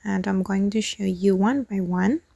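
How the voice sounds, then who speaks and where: A woman's voice: mid-range voice, unhurried, creaky, dark tone, no echo. A woman hosting a step-by-step how-to tutorial as a spoken voice-over. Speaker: tutorial host.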